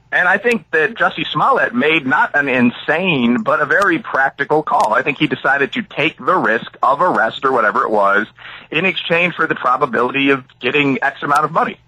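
A man's voice: mid-range voice, bright, forceful tone, breathy edge, no echo, low-quality recording. A man from the Chicago area, an author and academic, answering interview questions expansively and thinking aloud with frequent asides. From Chicago area, USA.

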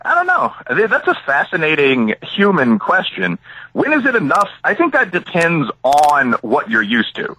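A man's voice: on the high side, wildly swooping pitch, moderate pace, sharp tone, breathy edge, dry recording, rough recording. A man from the Chicago area, an author and academic, answering interview questions expansively and thinking aloud with frequent asides. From Chicago area, USA.

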